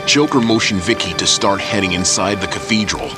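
A man's voice: lowish voice, brisk, over loud music. A man narrating a scripted action story as an audio drama. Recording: loud dramatic score and battle effects behind.

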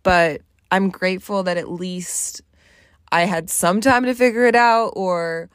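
A woman's voice: lowish voice, fairly even intonation, measured pace, clear, resonant tone, dry recording, studio quality. Blunt, profane woman in her late twenties. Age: late 20s. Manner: candid, blunt, swears freely, many "like" fillers. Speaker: podcast host. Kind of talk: solo podcast monologue.

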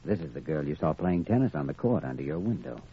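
An actor playing an earnest, quietly firm young male doctor with measured delivery in old-time radio drama. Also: rumbling low, lively intonation, breathy edge, full character voice. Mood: earnest, persuasive. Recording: muffled, noisy old radio recording.

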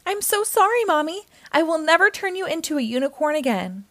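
Storybook giraffe child, played by a woman: small boy, high-pitched, sweet and emotional, very swoopy delivery. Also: very animated, brisk, sharp tone, no room sound, exaggerated voice. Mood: sorry.

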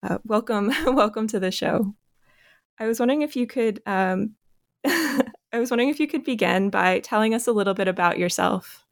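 Female podcast interviewer, mid-pitched, conversational and a little hesitant; dry recording. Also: expressive, normal speed, gravelly, dry recording.

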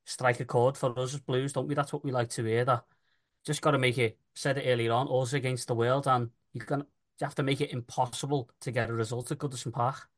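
Casting: male football fan co-host, mid-pitched voice, earnest and colloquial in casual discussion. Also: level tone, brisk.

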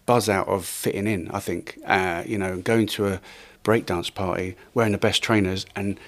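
A man's voice: sits low, steady tone, normal speed. A middle-aged man from Wales, a guest telling casual stories about his career, often swearing and acting out what people said. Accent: English, lost Welsh accent. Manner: swearing, laughing, acts out quotes. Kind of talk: casual interview storytelling.

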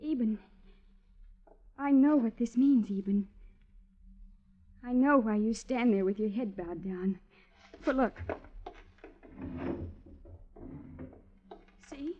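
An actress in a classic radio drama playing a lovestruck, melodramatic widow with an effusive manner. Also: female voice, fairly high pitch, slow pace, pauses often. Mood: mournful, dramatic. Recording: dull, band-limited radio recording.

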